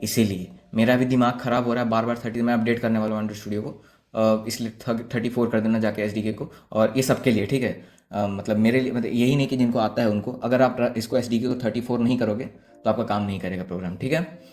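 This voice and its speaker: A man's voice: lowish voice, steady tone, brisk, a little mellow. A man with an Indian accent giving an informal, offhand code walkthrough, talking to viewers like friends. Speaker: coding tutorial presenter.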